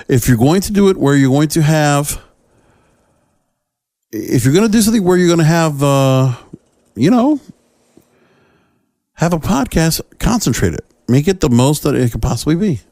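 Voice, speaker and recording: A man's voice: fairly high pitch, lively intonation, normal speed, long pauses, a little mellow, no room sound. Man hosting a podcast, dry close sound. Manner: conversational, emphatic, addresses listeners directly with rhetorical questions. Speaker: podcast host. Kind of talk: opinionated solo podcast commentary.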